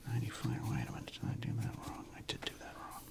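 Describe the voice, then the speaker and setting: A man hosting an ASMR video, speaking in a breathy whisper with a gravelly creak, rambling casually as if musing to himself. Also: fast. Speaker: host of a sports card ASMR channel. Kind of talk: ASMR, unscripted whispered commentary on a card collection.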